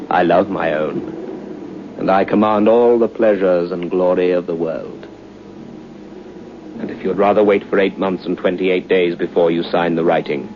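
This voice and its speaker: An actor playing a suave, menacing gentleman devil in an old radio drama, speaking in a measured, commanding, formal way. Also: low voice. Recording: dull, noisy old radio recording.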